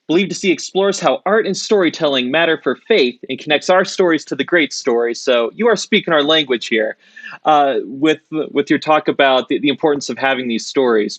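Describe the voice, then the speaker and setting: A man's voice: on the high side, fairly bright tone, dry recording. A man hosting an interview podcast, friendly and joking as he asks questions. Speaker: interview host.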